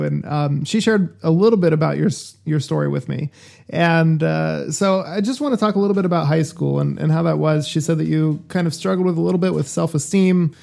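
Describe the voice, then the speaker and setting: Gravelly, mellow-voiced male interviewer. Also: a little high. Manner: encouraging, with short affirmations. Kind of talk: conversational interview.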